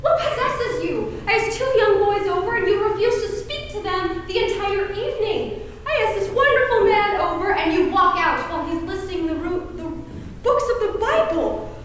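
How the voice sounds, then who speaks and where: A woman's voice: very high-pitched, lively intonation, normal speed. A teenage girl acting a bossy, fussy married hostess in an amateur stage play, with an emphatic manner. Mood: scolding. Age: teens. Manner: gushing, scolding, emphatic. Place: high school stage, filmed play. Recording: echoey stage, distant mic, faint noise.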